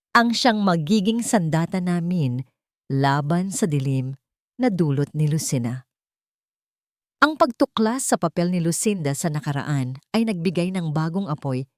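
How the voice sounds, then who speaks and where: A woman's voice: deep voice, no room sound, studio quality. A woman reading a scripted first-person drama story in an earnest, dramatic storytelling manner. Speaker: story narrator.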